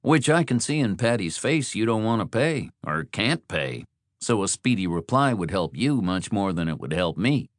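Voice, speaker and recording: A man voicing a smooth, controlling motel host in an audiobook, coldly polite, measured and condescending. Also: lowish voice, big pitch swings, dry recording, clean studio sound. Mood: quiet menace.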